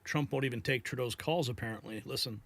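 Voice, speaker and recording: A man's voice: medium pitch, quick pace, fairly bright tone, dry recording. A man hosting political news, giving opinionated live commentary.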